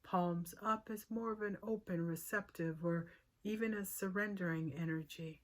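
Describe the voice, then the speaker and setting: A woman leading a guided meditation as a teacher, giving short, unhurried cues with pauses and a soothing, gently inviting manner. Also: fairly low pitch, fairly even intonation.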